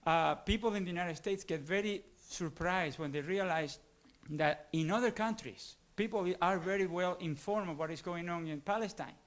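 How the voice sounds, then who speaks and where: A man in the audience posing a long question in a formal, halting way, often searching for words. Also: high voice. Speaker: audience member asking a question.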